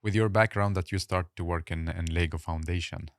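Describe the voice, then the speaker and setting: A man's voice: deep voice, steady tone, a little fast, no echo, clean studio sound. A man hosting a podcast interview, casual and chatty, often saying "like" and "amazing". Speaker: podcast host.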